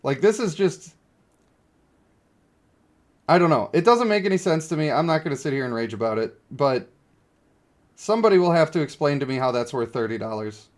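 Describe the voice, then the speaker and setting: A man's voice: on the high side, lively intonation, moderate pace, long pauses. A man giving casual, opinionated livestream commentary on a mobile game, blunt and given to exasperated ranting. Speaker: game streamer.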